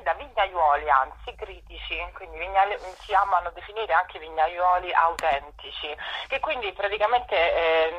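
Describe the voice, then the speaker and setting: A woman's voice: fairly low pitch, breathy edge. A woman explaining things hesitantly as an interview guest on a dull radio phone-in. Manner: hesitant, explanatory, with drawn-out pauses. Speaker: festival presenter, interview guest.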